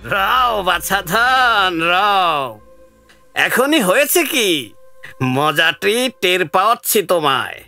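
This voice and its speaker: An emphatic man of the village, acted by a man, speaks in a high, bright voice. It is radio-style drama with faint music underneath. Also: big pitch swings, very slow, creaky, no room sound, exaggerated voice. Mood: cocky, taunting. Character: village men in tense dispute, earnest or taunting. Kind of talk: Bengali audio drama acting.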